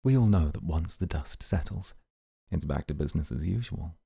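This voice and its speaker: A man playing a composed, calculating, coolly amused mafia boss, speaking in a measured, formal way in an ASMR roleplay. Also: very deep voice, expressive, creaky, mellow, husky, dry recording, studio quality, exaggerated voice. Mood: calculating, calm.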